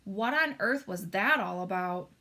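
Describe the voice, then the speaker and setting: A woman's voice: mid-range voice, animated, unhurried, bright, forceful tone, exaggerated voice. A woman voicing a small, curious storybook girl, insistent and full of questions. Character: small, curious, pleading, bold young girl.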